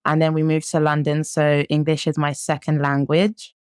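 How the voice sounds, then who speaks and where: 19-year-old French-born woman, lowish voice. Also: completely flat delivery, normal speed, a little mellow, clear, resonant tone, no room sound, clean studio sound. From France. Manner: chatty, laughs often, many ums. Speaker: guest. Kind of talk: casual interview chat.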